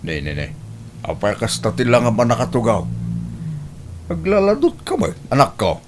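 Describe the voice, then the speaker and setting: A man's voice: medium pitch, huge pitch swings, moderate pace, noisy background. An actor playing a doting old father who fusses over and coaxes his grown daughter in a radio-style comedy drama. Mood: fussing, coaxing. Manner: fussing, coaxing, exclamatory.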